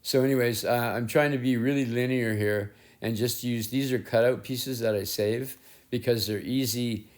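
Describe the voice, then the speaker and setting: A middle-aged male artist with a rough, raspy voice, casually narrating his work in a rambling, self-deprecating way with frequent restarts. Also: mid-range voice, steady tone, normal speed. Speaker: collage artist and painter. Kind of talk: casual narration while working. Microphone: head-mounted action camera.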